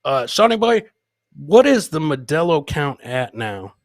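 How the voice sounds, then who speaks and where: A man's voice: a little high, very animated, a little slow, dry recording, clean studio sound. A man hosting a live comedy podcast, bantering casually and rambling, with swearing and showman-like addresses to his audience. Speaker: podcast host.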